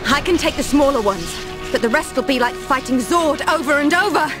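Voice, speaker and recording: A woman's voice: high-pitched, animated, fairly quick. A woman playing a spirited young female fighter in a video game, urgent and emphatic. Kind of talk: video game cutscene acting. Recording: loud music over the voice.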